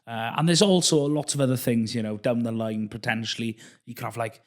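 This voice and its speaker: A man's voice: medium pitch, steady tone, brisk. A man hosting a podcast interview, talking in a friendly, easygoing way with plenty of "uh" fillers. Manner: friendly, hesitant with frequent uh fillers. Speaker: podcast host. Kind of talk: casual interview chat.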